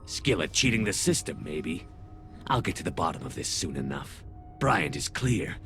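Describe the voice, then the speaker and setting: Low, sneering alien soldier. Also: male voice, sing-song, normal speed, fairly bright tone, full character voice. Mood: sneering, suspicious. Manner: contemptuous, grudging. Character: bitter, sneering male alien soldier, sore loser. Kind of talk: video game voice acting. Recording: game music behind the voice.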